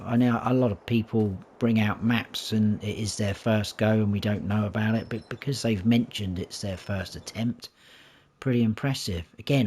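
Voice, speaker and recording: A fairly low-voiced man with a croaky throat talks viewers through a farming game map. He chats casually and corrects himself as he goes, and there is a faint hum of game ambience behind him. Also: darkish tone. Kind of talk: casual gameplay tour commentary.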